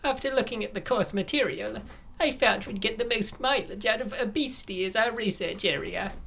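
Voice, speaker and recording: A woman's voice: a little high, normal speed, creaky, fairly bright tone, slight background noise. A woman voicing a confident, scheming young woman, the helpful best friend in a comic dub. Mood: matter-of-fact, scheming. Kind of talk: comic dub character acting.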